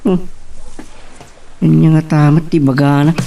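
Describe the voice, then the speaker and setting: A man's voice: on the high side, steady tone, a little slow, creaky, noisy background. A man with an Ilocano accent playing a casual, evasive young man in an amateur audio drama. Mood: hesitant, casual. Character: easygoing, evasive young man, a son and boyfriend.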